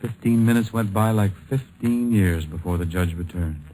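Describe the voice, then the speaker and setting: A man narrating a 1950s radio drama, solemn and poetic with deliberate phrasing. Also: fairly low pitch, mellow, close to an everyday voice, poor audio.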